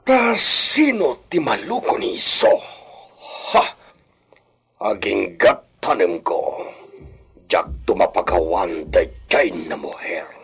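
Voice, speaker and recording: A man acts out a proud, wealthy hacienda master for a radio drama. His husky voice sits low and takes its time, swooping theatrically through drawn-out phrases with Spanish words dropped in. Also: big pitch swings, exaggerated voice. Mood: scornful.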